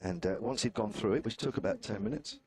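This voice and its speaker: A man's voice: on the low side, huge pitch swings, fast, creaky, crowd noise. Chatty man with a southern English accent. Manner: chatty, wry, self-deprecating. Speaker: cricket commentator. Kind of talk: live ball-by-ball cricket commentary. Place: outdoor commentary position at a cricket ground.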